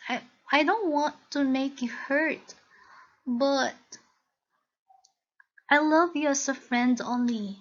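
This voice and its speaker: Woman acting a gentle young cartoon woman, high-pitched. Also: exaggerated voice. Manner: tender, hesitant, high-voiced. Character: gentle, apologetic young woman, reluctant object of affection. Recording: dull, lo-fi voice recording.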